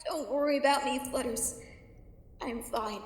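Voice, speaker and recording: A woman's voice: sits high, unhurried. A woman playing a brave, impassioned young cartoon heroine in a fantasy audio drama. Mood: strained reassurance. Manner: impassioned, defiant. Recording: echoing hall reverb with music.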